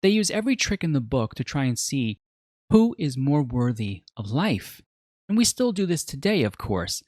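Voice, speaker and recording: Animated male reviewer in a dry recording. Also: medium pitch, normal speed, dry recording. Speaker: film and media reviewer. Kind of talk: scripted video essay narration.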